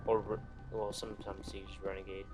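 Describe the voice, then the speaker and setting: A man's voice: on the low side, little pitch movement, a little fast, a little mellow, soft background music. A man giving casual, sarcastic let's play commentary with plenty of swearing as he plays a video game. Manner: profane, sarcastic. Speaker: gaming video host.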